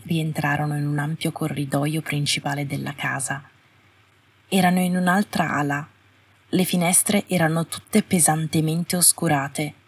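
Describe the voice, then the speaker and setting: A woman's voice: low voice, breathy edge. A woman reading a scripted story as an audiobook narrator. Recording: roomy, slightly distant home recording.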